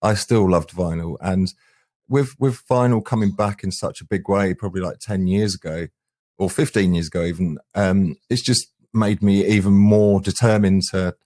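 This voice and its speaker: A man's voice: low voice, steady tone, normal speed, darkish tone. A man answering interview questions conversationally as a band's singer and guitarist. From England. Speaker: band frontman, singer and guitarist.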